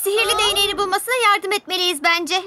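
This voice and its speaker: Very high, eager little cartoon bus. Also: fast talker. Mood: earnest. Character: childlike cartoon little bus, earnest, friendly and bossy. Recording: prominent music behind the voice.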